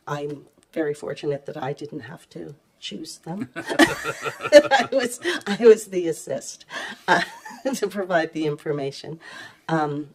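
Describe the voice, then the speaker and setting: A woman with a low voice, giving a hesitant report to a council with many ums and restarts. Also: darkish tone. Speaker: municipal clerk. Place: council chamber. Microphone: table microphone.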